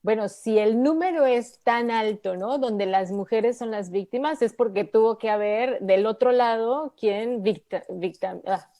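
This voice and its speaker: A woman's voice: on the high side, measured pace, few pauses, warm tone. A woman hosting a live radio health interview, asking questions and backing up her guest with frequent affirmations. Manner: supportive, with frequent backchannel affirmations. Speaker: radio host.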